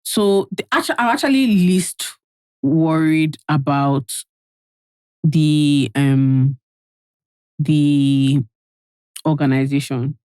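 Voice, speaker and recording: A woman's voice: low-pitched, slow, long pauses, darkish tone, no echo. A young woman co-hosting a podcast, teasing her friend and laughing often in casual chat. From Nigeria. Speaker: podcast co-host.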